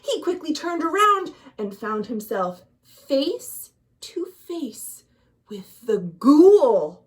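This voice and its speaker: An American woman narrates for children in a high, very animated voice. She takes her time, leaning on words with dramatic emphasis as a librarian at storytime would. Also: extremely slow. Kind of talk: expressive children's storybook narration with questions to listeners.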